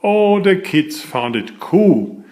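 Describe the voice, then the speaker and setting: A man narrating a children's picture book aloud in a deliberate, expressive storytelling manner. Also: sits high. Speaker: author reading aloud.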